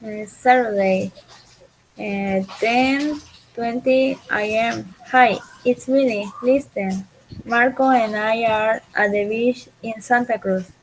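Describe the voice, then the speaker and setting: Female student, slow careful reading, raised pitch. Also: fairly even intonation, a little hiss or hum, low-quality recording. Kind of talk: reading a textbook passage aloud.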